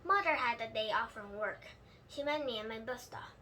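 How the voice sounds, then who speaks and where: Female storybook reader: high-pitched, bright, expressive, noticeable background noise. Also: moderate pace. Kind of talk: expressive children's book read-aloud.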